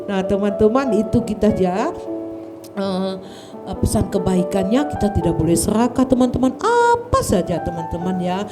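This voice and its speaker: Animated woman storyteller for children. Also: medium pitch, moderate pace, over music. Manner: lively, sing-song, addresses kids as friends.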